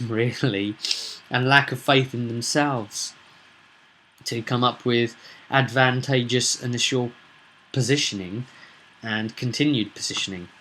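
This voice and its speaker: A man's voice: medium pitch, sharp tone, rough recording. A British man giving casual, unscripted commentary as a game master, emphatic and opinionated, rambling along with rhetorical questions. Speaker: tabletop roleplaying game master and vidcast host.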